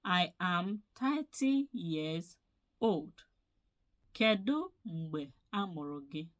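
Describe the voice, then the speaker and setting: Woman teaching phrases, low voice, super slow and patient, says everything twice. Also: lively intonation. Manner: measured, repeats each phrase slowly for learners. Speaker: language teacher.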